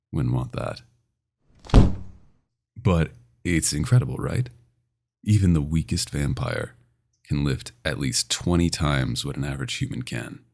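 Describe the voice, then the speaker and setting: A man playing a dominant, possessive vampire doctor in an ASMR roleplay, intimate and teasing with a smooth menace. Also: heavily acted. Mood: teasing, boastful. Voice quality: very deep, gravelly.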